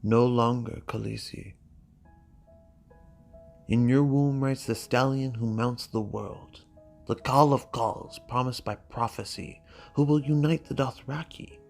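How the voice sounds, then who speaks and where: A narrator voicing a gruff, loyal older knight, speaking solemnly in a muttered tone for a dramatic fantasy audiobook. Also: mid-range voice, steady tone, slow pace, darkish tone, faint music behind. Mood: solemn, firm.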